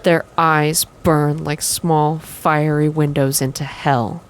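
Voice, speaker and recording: Low-pitched woman, intimate ASMR game reading. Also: level tone, slow. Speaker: host of a game playthrough. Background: game music.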